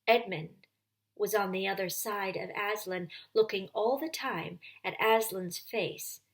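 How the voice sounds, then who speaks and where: A woman reading a children's story aloud as storyteller, with expressive narration and deliberate, dramatic phrasing. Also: mid-range voice.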